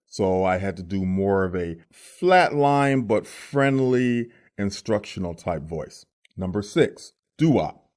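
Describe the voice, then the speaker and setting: A man's voice: on the low side, darkish tone. A man speaking as a voice actor giving a casual, scripted tutorial.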